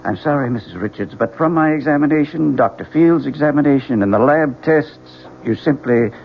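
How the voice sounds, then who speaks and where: An actor playing a frank doctor with a formal, measured, blunt manner in a radio drama. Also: male voice, fairly high pitch, creaky. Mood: grave, blunt. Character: frank, formal male doctor breaking bad news. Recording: muffled, noisy old radio broadcast.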